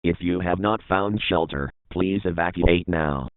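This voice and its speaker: Phone-like narrow sound, man acting stern alert announcer, low pitch, formal, measured, scripted warning. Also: smooth, no echo. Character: stern official male emergency broadcast announcer. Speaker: emergency announcer. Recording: thin phone-like band-limited sound.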